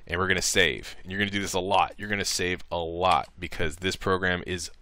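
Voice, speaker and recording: A man giving a casual, improvised software tutorial, talking through each click as he goes. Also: deep voice, fairly even intonation, fairly quick, dry recording. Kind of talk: casual step-by-step screen tutorial.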